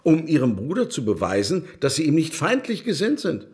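Defensive, highly animated journalist. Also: male voice, fairly quick. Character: defensive, placating male journalist and go-between. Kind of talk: German radio drama acting.

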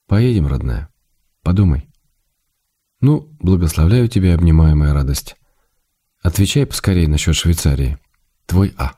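A man's voice: low voice, expressive, moderate pace, long pauses, dark tone. An actor playing an affectionate, wry writer reading his intimate letters to his wife in a radio drama. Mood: tender.

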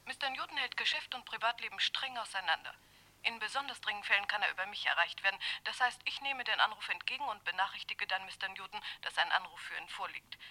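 An actress playing a firm, discreet secretary in a 1960s German radio crime drama, speaking formally and crisply. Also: female voice, medium pitch, animated, fast talker, bright, forceful tone, low-quality recording. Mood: firm, polite. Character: brisk, firm, discreet female office secretary guarding her boss.

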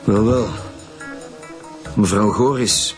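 An actor with a Flemish accent playing an impatient, blunt lead homicide detective in a radio drama. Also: male voice, lowish voice, takes it slowly, music in the background. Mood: stern, impatient. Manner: blunt, pressing.

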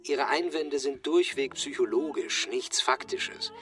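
An actor playing an eager, bookish researcher in a radio drama, earnest and pedantic, with a shy, hesitant manner. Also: male voice, mid-range voice, a little slow, music in the background, close to an everyday voice. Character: eager, bookish male researcher, shyly flirtatious.

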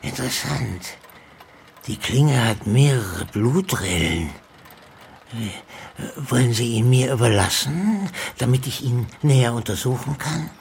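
An actor playing an elderly retired professor in a radio crime drama, friendly and absent-minded, with an aged voice. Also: male voice, mid-range voice, animated, vocal fry, slight background noise. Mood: fascinated. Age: older, retired. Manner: halting, absent-minded, kindly. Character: elderly retired professor, forgetful, hard of hearing, friendly, learned.

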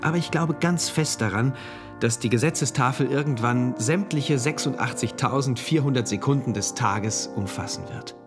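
An actor playing an earnest, devout citizen of a regimented future state, narrating his diary in a measured, confiding and precise way. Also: mid-range voice, music in the background, lightly acted. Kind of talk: first-person radio drama narration, diary entries.

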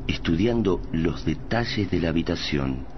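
An actor playing the solemn narrator of a science-fiction radio serial, in a literary style. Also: male voice, low voice, animated, moderate pace. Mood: grave, suspenseful. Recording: dull, noisy radio drama broadcast.

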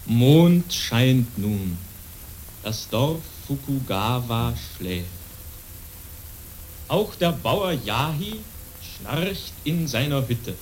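A man narrating a scripted radio play in a measured, solemn storytelling manner. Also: medium pitch, very animated, darkish tone, poor audio. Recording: faint background noise.

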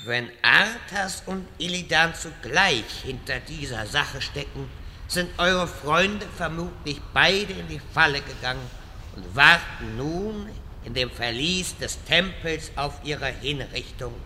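Guy doing rambling old wizard, high sharp voice, slow grand delivery, full of himself, audio play. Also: expressive, big-room sound, a little hiss or hum, exaggerated voice. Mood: ominous, grandiose. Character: pompous, long-winded old wizard, portal-obsessed. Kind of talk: parody audio drama acting.

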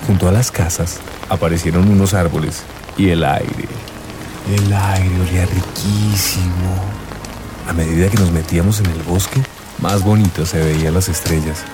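A man's voice: deep voice, fairly even intonation, normal speed, music in the background, lightly acted. An actor playing an earnest young man in love, searching and telling his story in a radio drama. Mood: wistful, earnest.

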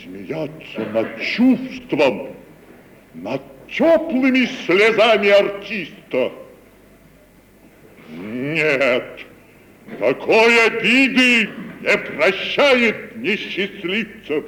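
Grandiose, wildly swooping tragedian. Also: male voice, high-pitched, sing-song, very slow, fairly bright tone, exaggerated voice. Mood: wounded, tragic. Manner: declamatory, pompous, theatrical. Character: grandiose, hot-tempered, wounded provincial tragedian actor, male. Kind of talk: 1950s Russian stage radio acting. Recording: reverberant, hissy 1950 radio recording.

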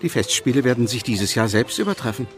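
A man's voice: mid-range voice, expressive, quick pace, soft background music, close to an everyday voice. A man playing a confident, optimistic local in a German radio play. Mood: upbeat, assured. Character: confident, optimistic male festival-town local.

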